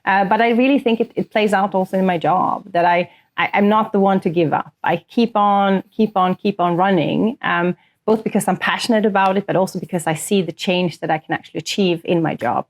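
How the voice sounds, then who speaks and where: A woman's voice: medium pitch, fairly quick. Earnest woman from northern Sweden. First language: Swedish. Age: 30s to early 40s. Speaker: corporate sustainability executive, interview guest. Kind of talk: conversational interview answers.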